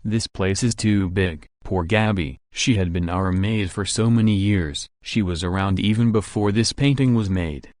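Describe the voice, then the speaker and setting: A man's voice: sits low, moderate pace, few pauses, a little mellow, no echo, clean studio sound, close to an everyday voice. A man playing a cocky, casual young heir in a visual novel, with an offhand delivery. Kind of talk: visual novel voice acting, scripted dialogue.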